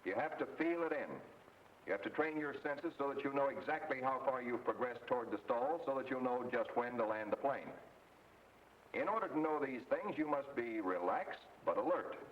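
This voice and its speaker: American guy doing 1940s instructional film narration, measured teacher type, sounds distant and muffled on an old recording. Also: mid-range voice, lively intonation. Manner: measured, didactic, clearly articulated. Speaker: flight training instructor and narrator. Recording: muffled, noisy, roomy vintage film soundtrack.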